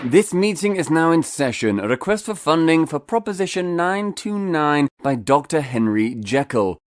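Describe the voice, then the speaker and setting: An actor playing a brusque, pompous Victorian knight presiding over a meeting, clipped and officious in manner. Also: male voice, a little high, sing-song, moderate pace, no room sound, studio quality. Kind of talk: theatrical period audio drama acting.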